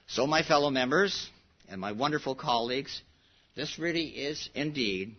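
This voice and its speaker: A man's voice: mid-range voice, animated, normal speed, lo-fi sound. A man chairing an assembly, giving an earnest, emphatic opening address that repeats phrases for stress.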